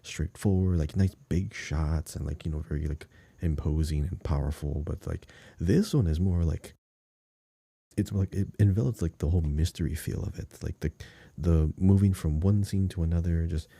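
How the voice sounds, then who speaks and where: A man with a deep, very dark and mellow voice talks as a podcast co-host. He rambles casually about gaming, building his descriptions in short pieces, and the sound is dry and free of room echo. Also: normal speed, vocal fry, husky. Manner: rambling, piecing descriptions together in short phrases. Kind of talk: casual chat about a video game.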